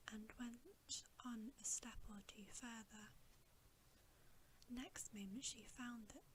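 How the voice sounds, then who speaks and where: A woman whispers a bedtime story very softly and breathily, close to the microphone. She reads slowly and gently in a soothing ASMR storytelling style. A faint noise sits behind her voice. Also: on the high side, poor audio. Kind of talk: ASMR whispered audiobook reading.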